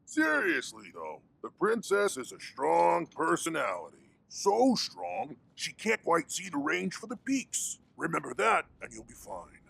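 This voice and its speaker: Big boisterous rock creature, heavy gravel, wildly swooping pitch, folksy and easygoing, game cutscene, music underneath. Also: male voice, wildly swooping pitch, a little slow, few pauses, a bit bright, heavily acted. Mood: jovial, teasing. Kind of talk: video game cutscene acting.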